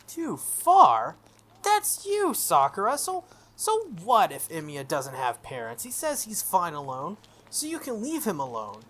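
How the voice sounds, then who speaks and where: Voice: medium pitch, wildly swooping pitch, unhurried, a bit bright, voice pushed up, exaggerated voice. The player of a visual novel voicing an arrogant, bullying teenage schoolboy with a contemptuous, needling manner. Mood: sneering, bullying.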